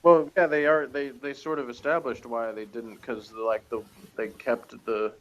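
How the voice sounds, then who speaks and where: A man's voice: mid-range voice, flat delivery, normal speed, muffled recording. A man reviewing a TV episode in casual, rambling, jokey chat, often chuckling. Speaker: reviewer on a TV show review channel.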